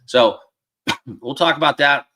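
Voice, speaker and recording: Hoarse-voiced man hosting a betting livestream. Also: mid-range voice, slow, sharp tone. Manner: loose and rambling, lots of fillers; greets chat viewers by name. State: losing his voice, a bit hoarse. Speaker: sports betting livestream host. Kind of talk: casual livestream chat. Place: dedicated home studio room.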